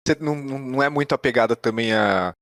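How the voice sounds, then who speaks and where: Man, Brazilian Portuguese accent, mid pitch, dry sound, podcast interviewer, conversational, thoughtful, tentative phrasing. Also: fast talker, a bit bright, no echo. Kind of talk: casual, thoughtful interview talk.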